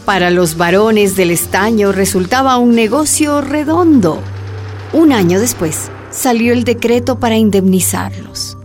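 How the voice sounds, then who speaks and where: A woman's voice: a little high, animated, measured pace, darkish tone, faint music behind. A woman performing as the solemn storyteller of a historical radio drama, in scripted narration. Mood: measured, dramatic.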